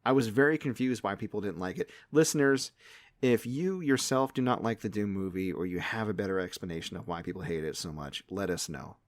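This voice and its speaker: A man's voice: fairly low pitch, expressive, a little fast, dry recording, clean studio sound. A man in his late thirties chatting casually about movies as a podcast co-host. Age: late 30s.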